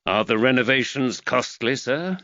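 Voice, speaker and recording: A man doing a calm, wry detective. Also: mid-range voice, normal speed, no echo, lo-fi sound, pitched above the performer's own voice. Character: calm, dry-witted young male detective.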